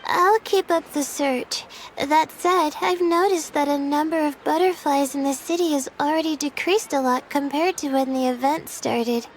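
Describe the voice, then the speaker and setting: A woman's voice: high voice, moderate pace, hardly any pauses, a little hiss or hum, rough recording. A woman voicing a timid, weary teenage student girl in an anime game, hesitant and meek. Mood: hesitant, earnest.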